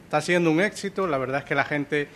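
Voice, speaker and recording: A man's voice: a little high, fast, fairly bright tone, a little hiss or hum. A man from Ecuador, the manager of a pastry shop, talking in an interview with many fillers and restarts. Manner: hesitant, repeats words, many fillers. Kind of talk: interview soundbite.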